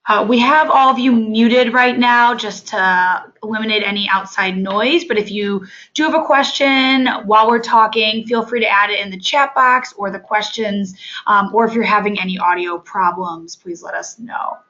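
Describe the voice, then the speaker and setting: A woman who has lost her voice from illness, leading an online training webinar as a program coordinator, speaking haltingly with many fillers. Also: mid-range voice, pushed and bright, dull audio. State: hoarse from illness. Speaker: off-campus study coordinator.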